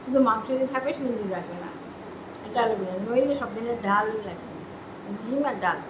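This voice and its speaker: A woman's voice: fairly high pitch, lively intonation, a little fast, muffled recording. A middle-aged woman chatting casually to her viewers on a vlog, talking to them directly and repeating herself as she goes. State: out of breath from walking. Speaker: vlogger. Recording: echoey with loud background noise.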